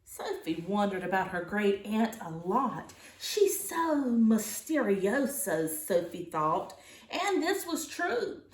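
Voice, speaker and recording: A woman teacher reading a children's storybook aloud, with expressive, deliberate phrasing in an echoey room. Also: mid-range voice.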